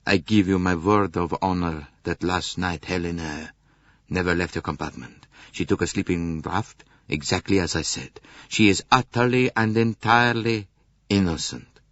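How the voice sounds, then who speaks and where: A man's voice: low-pitched, normal speed, fairly bright tone, no room sound, lo-fi sound. A man voicing a proud, hot-tempered count in an audiobook, a protective husband speaking earnestly and emphatically. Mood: solemn, insistent.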